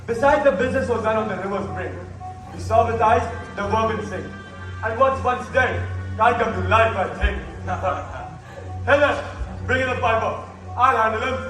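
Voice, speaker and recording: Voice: medium pitch, normal speed, lightly acted. A young student playing a pompous, scheming town mayor in a verse stage play, blustering and evasive. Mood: smug. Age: teenager or younger student. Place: school stage in a large hall. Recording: very echoey hall from far off, music.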